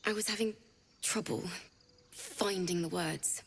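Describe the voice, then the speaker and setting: A woman playing a gentle, grieving young tiefling bard in a video game, speaking in a halting, confiding way. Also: medium pitch, a little hiss or hum, natural voice. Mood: tender, grieving. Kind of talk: video game drama acting.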